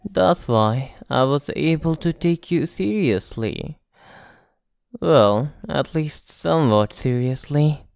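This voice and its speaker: A man playing a sly, teasing vampire in an ASMR roleplay, speaking in a deliberate, mocking and condescending way. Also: mid-range voice, clean tone, no echo, clean studio sound. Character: sly, teasing male vampire posing as small-town informant. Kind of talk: ASMR roleplay monologue to the listener.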